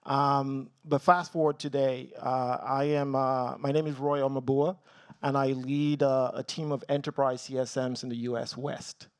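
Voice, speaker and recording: A man's voice: medium pitch, little pitch movement, moderate pace, clear, resonant tone, no echo. Earnest Nigerian-born man on a panel. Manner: earnest, gets emotional, many ums. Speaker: tech team leader on a panel. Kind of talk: personal story on a panel.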